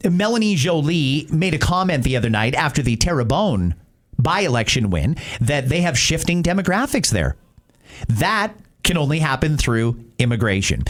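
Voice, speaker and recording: A Canadian man hosting talk radio with conversational, opinionated, emphatic commentary. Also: fairly high pitch.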